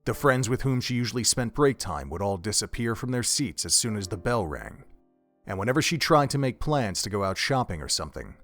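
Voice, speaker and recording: A man's voice: fairly low pitch, very animated, fairly quick, a bit bright, faint music behind. A man narrating an audio drama from a script, telling a story in the third person.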